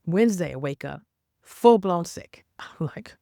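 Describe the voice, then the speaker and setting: A woman with a raspy, hoarse voice from a cold, talking casually about faith and pushing through hard times. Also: on the low side, animated, a little slow, mellow, no echo, clean studio sound. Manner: rambling, stops and restarts, then earnest exhortation. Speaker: faith vlogger. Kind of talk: casual faith talk to camera.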